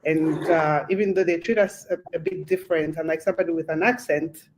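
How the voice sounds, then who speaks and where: A woman's voice: low voice, fairly even intonation, fairly quick, slight background noise. A woman with a Zambian accent, a podcast host speaking as a guest on a panel discussion, explaining her points earnestly.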